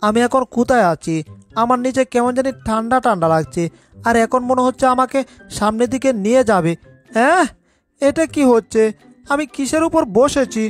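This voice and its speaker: A woman's voice: on the high side, normal speed, faint music behind, lightly acted. A woman voicing a panicky, put-upon male cat in a cartoon dub, exclaiming and fretting to itself. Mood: alarmed. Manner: exclaiming, fretful muttering to itself.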